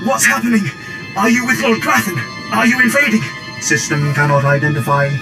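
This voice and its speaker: A man voicing an anguished soldier trapped inside a droid in a video game, pleading and stammering between flat system announcements, with a robotic filter. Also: sits high, brisk, gravelly. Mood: mechanical, halting. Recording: loud music under the voice.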